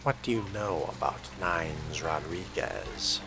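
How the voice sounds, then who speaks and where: A man reading a fledgling vampire's questions in scripted role-play dialogue, in a measured, questioning manner. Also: low voice, noisy background.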